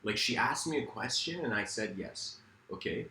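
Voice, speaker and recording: A man's voice: mid-range voice, lively intonation, normal speed, sharp tone, echoey room, poor audio. A man from Iran whose first language is Farsi, giving a reflective philosophical talk in a rambling, self-correcting way with many fillers. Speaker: speaker.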